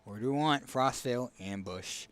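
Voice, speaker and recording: A man with a raspy, hoarse voice casually commenting on a card game as he picks cards, thinking aloud with fillers and restarts. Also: medium pitch, measured pace, fairly bright tone. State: hoarse, tickly throat. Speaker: streamer.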